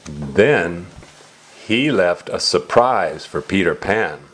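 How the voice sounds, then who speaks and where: A man's voice: sits low, expressive, takes it slowly, husky. A man reading a picture book aloud to a young child, asking simple questions and exclaiming as he explains.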